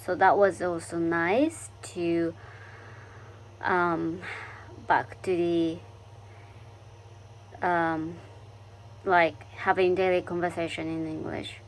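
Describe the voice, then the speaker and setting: A woman with a Japanese accent speaks in a reflective personal vlog, pausing often and reaching for her words. Her voice sits a little low and moves at a very unhurried pace, with a faint hiss behind it. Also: flat delivery, low-quality recording. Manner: thoughtful, searching for words with many fillers and restarts. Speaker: vlogger. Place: living in London.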